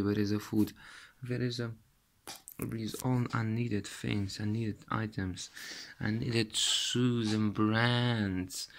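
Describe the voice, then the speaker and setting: A man in his thirties from Lithuania, speaking English with a Russian accent, slowly retelling a dream to a close-held phone camera in a small room, halting and repeating words as he searches for them. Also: fairly low pitch, vocal fry, slight background noise. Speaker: vlogger.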